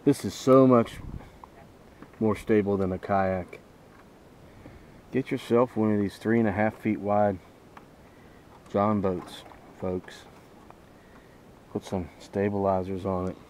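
A man with a fairly low, mellow voice chats casually as he fishes from a small boat. He talks in a folksy way to himself and to viewers. Faint boat and water noise sits behind him in the open air. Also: fairly even intonation, takes it slowly, pauses often. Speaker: fishing vlogger. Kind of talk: casual running commentary while fishing. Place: outdoors on a small boat on a lake.

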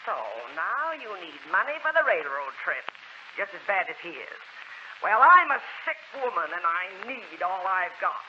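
An actress playing a stingy, grumpy old aunt who scolds and complains brusquely in a 1940s American radio drama. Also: female voice, on the low side, big pitch swings, moderate pace, bright, forceful tone, heavily acted. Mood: indignant. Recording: muffled, noisy 1940s radio recording.

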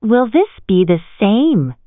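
Woman reading example sentences, lively pitch. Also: slow pace, dry recording. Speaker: English example speaker. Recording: tinny, phone-like band.

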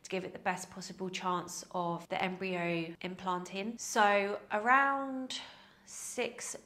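A woman's voice: mid-range voice, steady tone, takes it slowly, pushed and bright. Woman vlogging to camera, bit nasal from a cold, self-deprecating and chatty, lapel mic in a small room. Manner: chatty, self-mocking, laughs often. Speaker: fitness vlogger. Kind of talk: casual to-camera Q&A. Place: small indoor room with plants.

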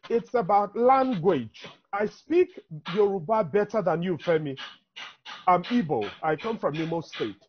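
A middle-aged man with a Nigerian accent speaks as a panelist in an online discussion. His voice sits high and swings widely in pitch as he lays out his points in an emphatic, teacherly way. The sound is dull, like a low-quality video call. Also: expressive, unhurried, a little hiss or hum. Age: 50s. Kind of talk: impassioned speech in an online town hall discussion. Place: indoor room, on a video call.

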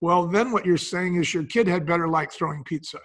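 High-pitched, husky-voiced man explaining business. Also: a little fast. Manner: folksy, emphatic, asks and answers own questions. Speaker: guest, business advisor and brand founder. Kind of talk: conversational explaining in an interview.

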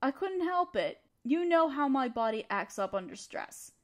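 A woman's voice: high-pitched, big pitch swings, normal speed. A woman playing a young female student, a teasing but encouraging study partner, in an intimate audio roleplay addressed to the listener. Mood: gentle, reassuring. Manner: teasing, conversational, affectionate.

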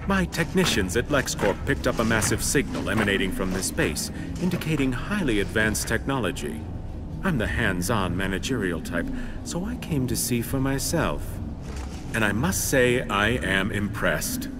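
A man's voice: fairly low pitch, animated, moderate pace, heavy background noise, lightly acted. A man playing a suave, self-assured middle-aged tycoon villain in a game cutscene. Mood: smug. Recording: game cutscene with loud music.

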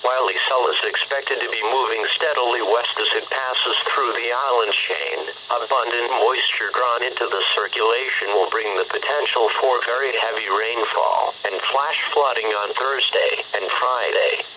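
A synthetic male text-to-speech voice reading a formal, measured weather bulletin as a radio announcer, heard through a radio speaker. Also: medium pitch, fairly even intonation, background noise. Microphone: camera picking up a radio speaker.

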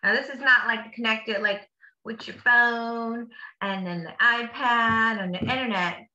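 A woman's voice: on the high side, a little slow, bright, forceful tone, muffled recording. A woman reading a picture book aloud to children like a teacher, with encouraging, simply worded asides in between. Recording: echoey room.

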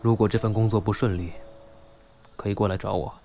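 Man playing a low-voiced, reserved boss. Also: steady tone, brisk, music in the background, natural voice. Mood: subdued, regretful. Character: reserved, regretful male boss. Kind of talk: Chinese audio drama acting.

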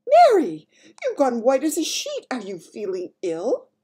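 A woman's voice: sits high, wildly swooping pitch, measured pace, warm tone, slightly rough, voice pushed up, exaggerated voice. A woman voicing a regal older Queen in a storybook, with a proper, grand and emphatic manner. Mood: sharp, concerned.

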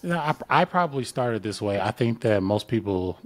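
A man's voice: lowish voice, lively intonation, moderate pace, no room sound. A man in his thirties, a podcast guest on a video call, explaining his views at length in a casual, thoughtful way. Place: home studio, indoors. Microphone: large studio mic on video call.

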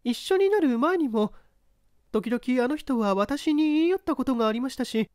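A performer as a high-voiced, uneasy widow, a woman testifying. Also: expressive, darkish tone, dry recording, studio quality. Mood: uneasy, subdued. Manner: polite, measured, formal answers. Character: uneasy widow testifying before an examining judge. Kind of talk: dramatised mystery story reading.

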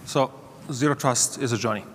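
A man's voice: medium pitch, normal speed, a bit bright, slight background noise. A man speaking as a panelist on a conference stage, candid and conversational, on a lapel mic. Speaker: panelist, security leader. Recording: reverberant hall, faint ambience.

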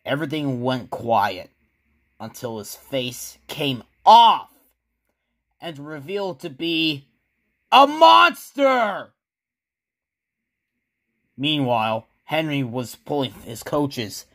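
Male narrator, scary storytelling, very slow, highly animated intonation, dramatic. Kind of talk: scripted horror story narration.

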